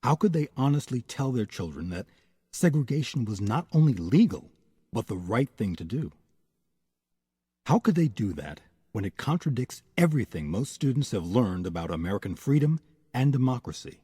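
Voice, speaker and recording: A man hosting a history series, reading scripted narration in a measured, rhetorical way. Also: medium pitch, very animated, dark tone, breathy edge, no room sound, clean studio sound. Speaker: host, narrator.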